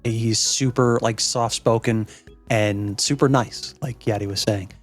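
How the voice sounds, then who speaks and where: A man hosting a reaction show in casual chat, often halting and repeating words as he explains. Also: on the low side, fairly even intonation, soft background music.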